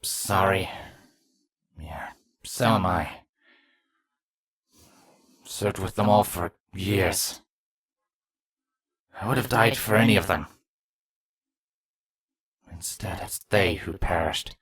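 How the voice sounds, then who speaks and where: A male narrator plays a proud, stern changeling soldier in a fantasy story, loyal and weighed down by grief. The voice is lowish and very dry. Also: slow, pauses often, a bit bright, slightly rough, no room sound, exaggerated voice. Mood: grieving.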